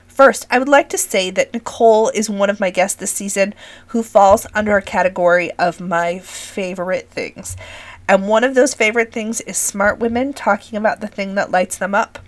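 Raspy-voiced woman podcast host. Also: medium pitch, moderate pace. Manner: chatty and warm-hearted, addresses listeners as friends. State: recovering from illness, scratchy voice. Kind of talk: casual podcast monologue.

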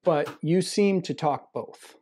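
A male podcast host with a soft, dark-edged mellow tone. His pitch sits a little high for a man, and he talks conversationally as he introduces and interviews. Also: slow, slightly rough.

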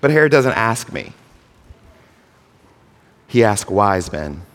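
A man preaching a sermon as pastor, earnest, with drawn-out phrasing. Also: fairly low pitch, sing-song, fairly bright tone. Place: church sanctuary. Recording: long hall reverb.

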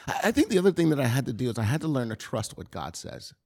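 Earnest gravelly-voiced man giving testimony. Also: mid-range voice, sing-song, darkish tone. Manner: earnest, confessional, halting with repeated words. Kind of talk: personal testimony sermon.

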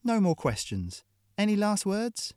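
A man's voice: sits high, wildly swooping pitch, measured pace, darkish tone, dry recording, studio quality, voice pushed up, full character voice. A man putting on a sneering brutal mercenary woman. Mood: contemptuous. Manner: sneering, taunting.

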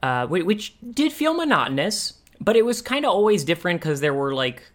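A man co-hosting a podcast, talking casually and thinking aloud in a halting way. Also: high-pitched, big pitch swings. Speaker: podcast co-host. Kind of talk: casual discussion of animation.

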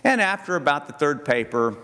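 A middle-aged or older man, giving a folksy, halting, self-deprecating talk to a group. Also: on the high side, sing-song, bright, forceful tone. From southern United States. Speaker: speaker addressing a church group. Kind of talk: personal talk with stories and Bible reading. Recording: long echoing hall reverb.

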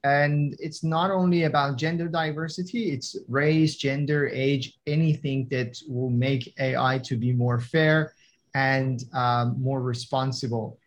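A young man whose first language is not English, speaking as a guest in an interview, answering hesitantly with frequent pauses and fillers. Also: mid-range voice, fairly even intonation. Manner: hesitant, many fillers and restarts. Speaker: guest, tech entrepreneur. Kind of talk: conversational interview.

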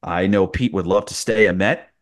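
A man's voice: low-pitched, normal speed. A man who works as a sports broadcaster, giving conversational sports analysis as a podcast guest.

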